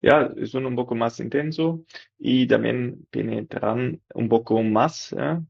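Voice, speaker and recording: A man, a dental technician and developer, explaining a product in halting with many fillers and tag questions. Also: mid-range voice, vocal fry, slightly rough, dry recording, muffled recording.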